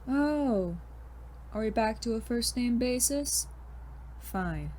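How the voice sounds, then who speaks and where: A woman's voice: a little high, expressive, takes it slowly, a little mellow, a little hiss or hum. A woman playing a smug, bitter male chemistry teacher turned supervillain in a scripted audio drama, with a mocking, condescending, theatrical delivery. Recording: music behind the voice.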